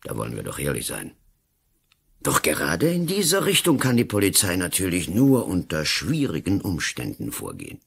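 Husky, pompous, blustering colonel. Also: male voice, sits low, wildly swooping pitch, normal speed, fairly bright tone, exaggerated voice. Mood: insistent, stern. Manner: pompous, emphatic, lecturing. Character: blustering, cynical male colonel and lawyer-politician. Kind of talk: German-language radio play acting.

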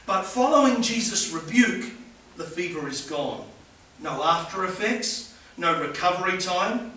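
A man's voice: high-pitched, sharp tone. A middle-aged man preaching a sermon to a small congregation, earnest and building emphasis. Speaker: pastor. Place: small church hall with congregation. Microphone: far camera at back of room. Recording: echoey room recorded from far off with faint hiss.

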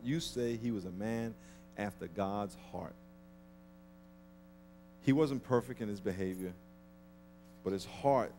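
A man in his thirties preaching a sermon on a large church stage into a headset mic, lively and acting out people's grudges. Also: lowish voice. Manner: animated, acts out petty grudges in a mocking voice. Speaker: pastor.

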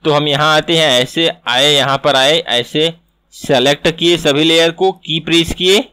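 A man's voice: a little high, steady tone, unhurried, sharp tone, clear, resonant tone. A man teaching animation in a screen-recorded tutorial, explaining step by step and repeating himself.

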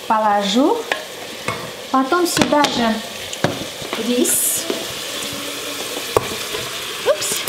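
A woman's voice: high voice, heavy background noise. A woman in her thirties, a native Armenian speaker, hosting a casual cooking demonstration in her home kitchen with a chatty, playful manner. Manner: chatty, playful, slow and unhurried. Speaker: cooking show host. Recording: echoey kitchen with loud music bed.

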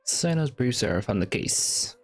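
A man's voice: medium pitch, very animated, unhurried. A man streaming a game playthrough with casual, offhand commentary. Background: game music.

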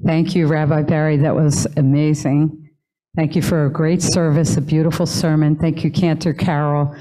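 Low-voiced woman reading congregation announcements. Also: little pitch movement, moderate pace, dark tone, rough recording. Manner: friendly, chatty delivery. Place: synagogue sanctuary.

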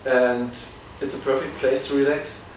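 Relaxed man, distant and echoey. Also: mid-range voice, moderate pace, slight background noise. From Berlin, Germany. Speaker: guest. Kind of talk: casual interview. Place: living room of a guesthouse, indoors. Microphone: far camera. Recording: echoey room from far off.

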